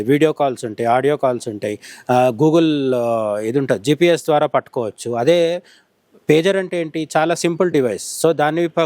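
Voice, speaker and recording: Man, slightly high voice, dry recording, conversational news analysis, explanatory, rephrasing. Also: a little mellow, no room sound. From India. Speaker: journalist interviewed as commentator.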